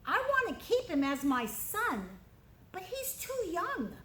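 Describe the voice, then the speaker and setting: A woman's voice: very high voice, very animated, takes it slowly, a bit bright, slight background noise, pitched above the performer's own voice, exaggerated voice. A woman voicing a bossy, decisive young princess who gives eager commands. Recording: roomy echo.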